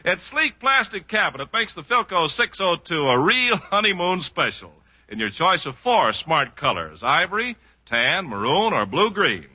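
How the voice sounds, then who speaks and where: A male 1940s radio pitchman with a very bright, forceful voice and a slight rasp. His pitch swings widely as he punches out the sales copy, on an old radio recording. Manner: punchy, emphatic sales delivery. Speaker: commercial announcer.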